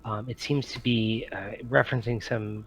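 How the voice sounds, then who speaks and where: A man's voice: medium pitch, fairly even intonation, normal speed. A man running a tabletop game, explaining things casually with easygoing, hesitant phrasing over some background noise. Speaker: game master. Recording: faint background room noise.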